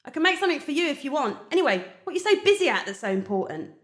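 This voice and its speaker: A woman's voice: sits high, big pitch swings, racing, a little hiss or hum. An actress playing a brash, nosy, foul-mouthed woman who is blunt and pushy in audio drama dialogue. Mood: pushy, teasing.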